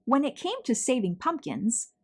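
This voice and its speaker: A woman reading a picture book aloud to children, telling the story in a deliberate, dramatic way with emphasized words. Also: high voice, very animated, a little mellow.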